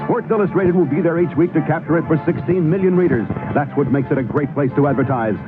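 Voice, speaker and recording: Fast-talking male radio ad announcer. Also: fairly high pitch, over music. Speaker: commercial announcer. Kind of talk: brisk radio advertisement read. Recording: tinny, band-limited radio broadcast.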